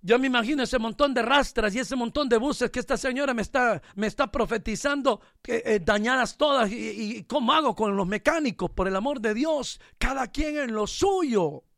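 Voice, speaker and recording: A man's voice: extremely high pitch, normal speed, creaky, hardly any pauses, a bit bright. A male preacher giving a sermon full of jokes, then leading a fervent prayer. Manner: fervent, joking, conversational.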